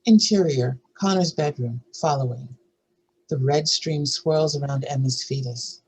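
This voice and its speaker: A husky-voiced narrator reads screenplay action lines at a table read. The delivery is scripted and descriptive, with a mid-range pitch and a rough edge. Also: measured pace, a little mellow, rough recording. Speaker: narrator reading stage directions.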